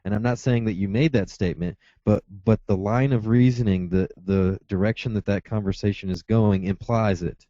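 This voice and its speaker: A man's voice: lowish voice, fairly even intonation, moderate pace, mellow, dry recording, muffled recording. A man arguing in a heated debate, insistent, with frequent stammering restarts. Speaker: atheist caller.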